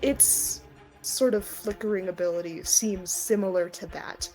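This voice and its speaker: A woman runs a tabletop game as game master. Her pitch is average and she narrates slowly, in halting, deliberate phrases. Light music plays behind her. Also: mellow, poor audio.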